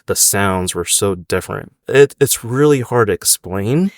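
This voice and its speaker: Low-voiced man talking easily. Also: measured pace, no echo, clean studio sound. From United States. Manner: relaxed, rambling with many fillers and restarts. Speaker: restaurant owner. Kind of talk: casual interview.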